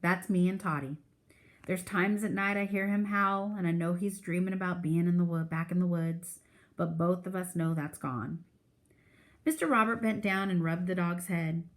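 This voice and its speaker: A woman's voice: lowish voice, steady tone, normal speed. A woman reading a children's novel aloud as a teacher would for a class, expressively and with character voices.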